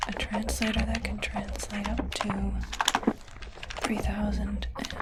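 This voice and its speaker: A woman voicing a calm, matter-of-fact alien technician in a soft, deliberate ASMR roleplay whisper, close to the microphone. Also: mid-range voice, heavy background noise, natural voice. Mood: detached, curious. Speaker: ASMR performer. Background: keyboard typing sounds.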